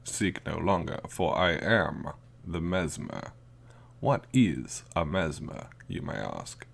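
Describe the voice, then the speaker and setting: Casting: male actor as a mysterious, lonely carnival mesmer for ASMR sleep roleplay; deep creaky voice, soft, courtly and formal. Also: very animated, slow, exaggerated voice. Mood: mysterious, grand. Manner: soft-spoken, formal old-fashioned theatrical phrasing.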